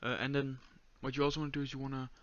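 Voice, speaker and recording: A young man with a Danish accent giving a step-by-step screen-recorded tutorial in a flat, monotone voice full of "uh"s and "um"s. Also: mid-range voice, rapid-fire, highs cut off. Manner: monotone, many fillers. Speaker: tutorial creator.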